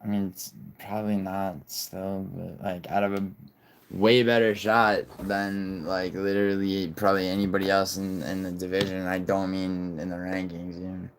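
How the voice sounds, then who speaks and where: A man's voice: sits low, little pitch movement, normal speed, a little hiss or hum, low-quality recording. A young man hosting a casual livestream, rambling, swearing and reading viewer chat aloud. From born in Italy, raised in New York City. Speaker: livestream host.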